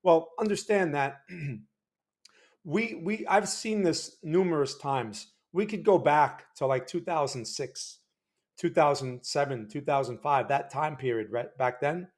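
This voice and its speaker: A man hosting a market analysis livestream in a loose, chatty way, greeting viewers and restarting his sentences. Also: a little high. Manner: chatty, reads out chat names, loose restarts. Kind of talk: casual livestream talk. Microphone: desk microphone.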